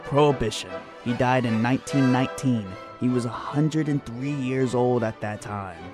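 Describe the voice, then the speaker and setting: A man plays a small-town gossip in a radio play, with music clearly playing behind him. He talks in a folksy, amused, colloquial way at a middling pitch. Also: moderate pace, close to an everyday voice. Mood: amused, gossipy. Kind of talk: radio drama acting.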